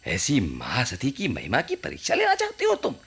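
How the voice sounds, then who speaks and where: An actor playing a pious, indignant old priest in a radio play, scolding in an emphatic way. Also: male voice, medium pitch, huge pitch swings, normal speed, vocal fry, fairly bright tone, husky, full character voice. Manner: scolding, emphatic, reverent about tradition. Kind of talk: Hindi radio play acting.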